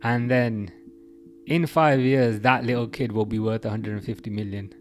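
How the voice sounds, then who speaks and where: A young man hosting a relaxed football livestream, reading out chat messages and rambling through his thoughts with frequent restarts. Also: medium pitch, normal speed, a little hiss or hum.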